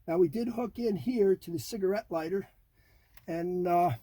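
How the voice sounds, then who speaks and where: A man's voice: high voice, moderate pace, warm tone, poor audio. A middle-aged man thinking aloud in a folksy, casual way as he narrates a hands-on how-to job inside a vehicle. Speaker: DIY car project host. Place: inside a vehicle cabin, doors open. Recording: clear background noise, handling clicks.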